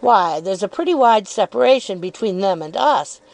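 A woman's voice: mid-range voice, animated, moderate pace, vocal fry. A woman reading an audiobook, voicing a careless, well-off young man who talks in an offhand, indifferent way.

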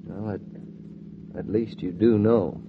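A man's voice: fairly low pitch, fairly even intonation, takes it slowly. A man acting in a 1940s radio drama as a good-natured young newlywed husband, dry, understated and teasing. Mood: wry. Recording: dull, faintly noisy 1940s radio recording.

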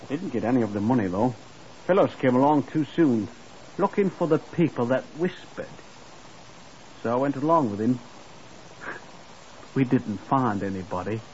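An actor playing a bitter, sneering young murderer who was dismissed as dim, in a mocking and defiant 1950s radio crime drama style. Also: male voice, mid-range voice, lively intonation, normal speed, long pauses, darkish tone, natural voice. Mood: bitter, confessing. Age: 20s. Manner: mocking, defiant, breaking into chuckles. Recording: muffled, noisy 1950s radio recording.

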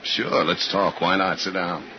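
A hard-boiled American cop with a very low voice. He is sarcastic, suspicious and brusque, firing off slangy wisecracks in 1950s radio thriller style. The old recording is dull and noisy. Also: fairly even intonation, unhurried. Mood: sarcastic, needling.

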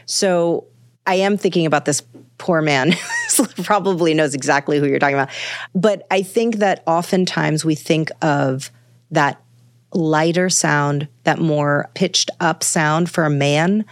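Low-voiced woman, musing guest. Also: measured pace, no echo, clean studio sound. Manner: thoughtful, meandering, many fillers and restarts. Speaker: author and podcast guest. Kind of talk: casual conversational interview.

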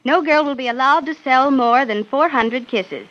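A practical young woman organizer lays out her plan in a brisk, confident way. Her high voice comes through a muffled old radio recording. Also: a bit bright. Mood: businesslike. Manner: businesslike, confident. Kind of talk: 1940s radio acting.

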